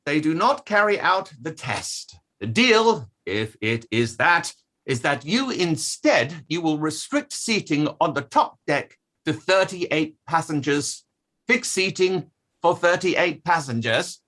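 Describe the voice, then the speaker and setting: A man's voice: a little high, very animated, slow, sharp tone, full character voice. A man playing a precise, pressing inquiry barrister, cross-examining formally in a play table read. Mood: sceptical, insistent. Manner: probing, formal, hesitant over document references.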